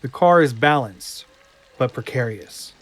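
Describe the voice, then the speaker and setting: A man narrating an audio drama from a script in a deliberate, suspenseful manner. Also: mid-range voice, expressive, dry recording.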